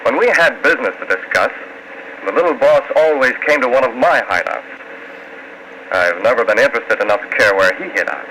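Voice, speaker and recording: Cool, persuasive vigilante hero, lively inflection, old noisy radio thriller. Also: male voice, mid-range voice, a little fast. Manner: persuasive, commanding. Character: cool, crafty, commanding masked male vigilante. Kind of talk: 1940s radio acting.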